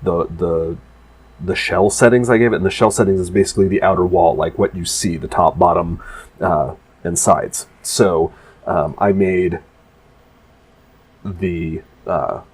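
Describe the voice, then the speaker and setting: Man, deep and slightly husky, congested and nasal; loose rambling voice-over for a maker video. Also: a little mellow. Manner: rambling, full of restarts and fillers. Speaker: maker explaining his prop build. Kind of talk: casual voice-over narration of a build video.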